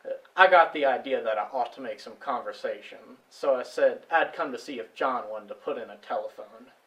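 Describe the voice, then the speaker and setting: A man acting in a stage play as a plainspoken farmer giving witness in a halting, folksy way. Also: mid-range voice, a bit bright, distant mic, natural voice, low-quality recording. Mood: earnest, uneasy. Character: plainspoken male farmer witness recounting a discovery. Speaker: stage actor. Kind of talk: amateur stage play dialogue.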